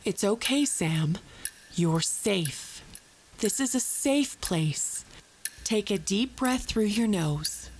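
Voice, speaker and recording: A woman playing a calm, controlled psychologist who guides a patient in a measured, reassuring way in an audio drama. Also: medium pitch, a little hiss or hum. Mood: soothing. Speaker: actor in an audio fiction podcast. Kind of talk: audio drama, soothing clinical guidance. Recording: loud music under the voice.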